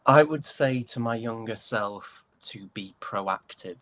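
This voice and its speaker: A man's voice: on the low side, slow pace, fairly bright tone. A British man chatting casually as an interview guest, an actor with a joking, self-deprecating way of talking. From United Kingdom.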